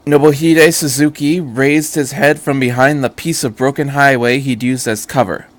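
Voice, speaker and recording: A man's voice: mid-range voice, steady tone, normal speed. A man reading fan fiction aloud as an amateur narrator of a scripted story.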